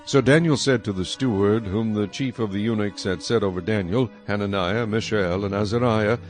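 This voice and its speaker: A man narrating scripture from a script in a measured, solemn manner. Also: on the low side. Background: faint music.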